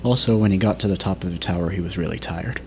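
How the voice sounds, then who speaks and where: A man's voice: low-pitched, fast. A man co-hosting a casual movie podcast with wry, sarcastic remarks. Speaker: podcast co-host. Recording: faint background noise.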